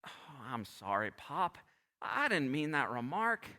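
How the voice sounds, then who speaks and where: A man plays an earnest, restless young small-town dreamer in a vintage radio drama. His voice is high and very bright, with swooping, stammering eagerness. It rings through a hall in a live stage taping. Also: very animated, unhurried, heavily acted. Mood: frustrated, earnest. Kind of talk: old-time radio play acting on stage. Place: theatre stage.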